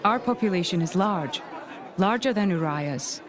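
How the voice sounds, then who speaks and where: A woman's voice: mid-range voice, expressive, lightly acted. A woman playing a composed, dry, formal imperial officer in a video game. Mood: cool, probing. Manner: formal, measured, cool. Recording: game music and crowd behind.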